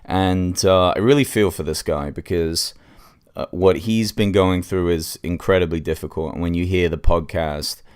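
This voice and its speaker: A man's voice: deep voice, moderate pace, a little mellow, clear, resonant tone. A man hosting a casual solo podcast review, congested with a cold and speaking in an informal, hesitant way.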